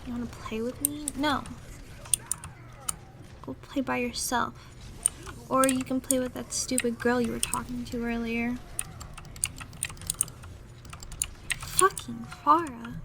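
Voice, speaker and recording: A woman's voice: on the high side, steady tone, measured pace, vocal fry, long pauses. A woman playing a jealous, pouty young gamer girlfriend in a casual, half-improvised roleplay audio. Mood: annoyed. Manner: pouty, grumbling, irritated. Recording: noisy room, clattering computer keyboard. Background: loud keyboard typing and background noise.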